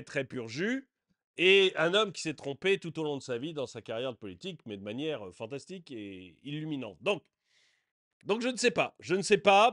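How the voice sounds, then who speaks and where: A man hosting a commentary channel, reacting to political TV clips with indignation that climbs to shouting and then drops into mumbled fillers. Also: fairly high pitch.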